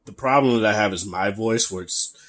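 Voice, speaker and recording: Man, very low bassy voice, young adult, casual tech review, laid-back chatty delivery. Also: brisk, a bit bright. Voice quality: deep, bassy voice. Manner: laid-back, chatty, many fillers and restarts.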